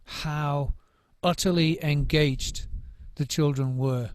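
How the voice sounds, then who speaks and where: A man's voice: a little high, fairly even intonation, takes it slowly, dry recording. A middle-aged man, a drum circle trainer, giving thoughtful interview answers, often restarting and repeating words as he searches for what to say.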